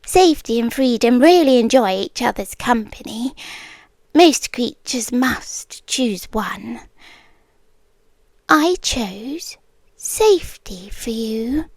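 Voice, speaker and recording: A high, very slow British female voice, close and dry, a woman acting an inhuman desert queen in an ASMR roleplay. She is possessive and softly menacing, slipping between hushed speech and whispers with deliberate pauses. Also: animated, dry recording, exaggerated voice. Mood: soft possessive menace.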